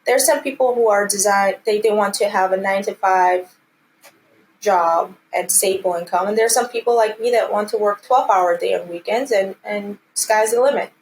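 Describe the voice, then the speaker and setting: A woman's voice: medium pitch, moderate pace, slight background noise, rough recording. A woman in her thirties from Armenia, speaking earnestly and encouragingly as she answers interview questions conversationally. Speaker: interview guest, commercial real estate team lead.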